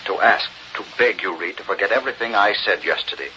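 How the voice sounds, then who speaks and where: An actor playing an anxious, pleading public official, halting and subdued, in a vintage radio drama. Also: male voice, lowish voice, pushed and bright. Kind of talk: 1930s-40s radio acting. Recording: muffled old radio recording, faint noise.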